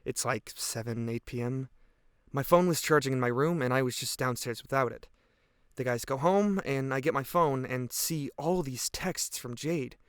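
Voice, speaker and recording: A man's voice: medium pitch, normal speed, smooth, dry recording, clean studio sound, natural voice. A man acting a guilt-ridden, earnest teenage boy giving hesitant, reflective testimony in an audio drama. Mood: weary, guilty. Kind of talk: audio drama monologue, police interview testimony.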